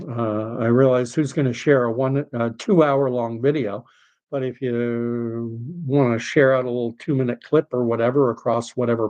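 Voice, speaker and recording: A middle-aged man hosting a late-night livestream, rambling and opinionated as he reads and answers viewer chat, his voice tired and getting hoarse. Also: medium pitch, a little mellow, muffled recording. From Canada. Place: home streaming setup.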